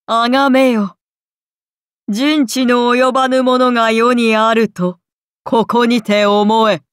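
A woman's voice: fairly high pitch, fairly even intonation, slow pace, dry recording, clean studio sound. A woman voicing a haughty, solemn serpent goddess in an anime game, speaking in archaic, commanding words. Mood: menacing, majestic. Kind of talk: Japanese anime game voice acting.

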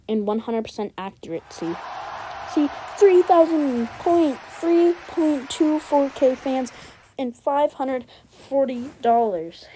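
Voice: medium pitch, dark tone, poor audio. A gamer giving casual, slangy gameplay commentary and often repeating words and phrases. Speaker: gaming video host.

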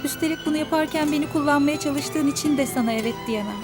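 A woman's voice: sits high, lightly acted. A woman playing a hurt but firm young woman. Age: young adult. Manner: quiet, measured and reproachful. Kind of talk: TV drama acting. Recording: TV drama with music score.

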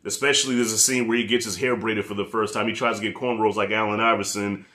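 A man in his thirties with a New York accent, giving a casual, profane, animated review rant to camera in his living room. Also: fairly low pitch, fast. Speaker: movie reviewer.

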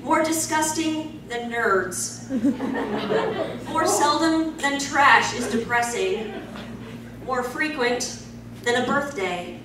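An anxious, awkward anime character, played by a young woman hosting a fan panel. The voice is high and halting, with a giggly edge. It comes from far off in an echoey, noisy hall. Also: animated. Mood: mock-solemn. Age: young adult. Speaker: fan convention panel host. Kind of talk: live panel word game and mock-dramatic poetry reading. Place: convention panel room, handheld mic through PA. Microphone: handheld mic over speakers, distant camera.

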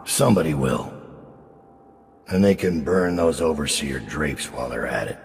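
An actor playing a middle-aged, world-weary assassin leader in a video game, grim, measured and dryly threatening, with a gravelly, very deep voice. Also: male voice, long reverb tail, a little hiss or hum. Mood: dry, commanding. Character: gravelly, world-weary, menacing master assassin leading a gang. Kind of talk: video game character acting.

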